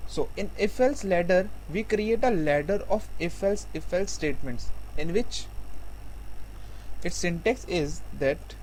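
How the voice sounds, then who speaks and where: A man tutors programming in a slightly high-pitched voice. He speaks slowly and haltingly, often repeating himself as he explains each step. There is faint noise behind him. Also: lively intonation, a little mellow, poor audio.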